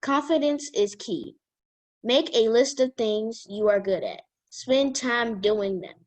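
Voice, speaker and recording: A woman reading a children's self-help book aloud as a guest reader, in a careful, halting manner. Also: on the high side.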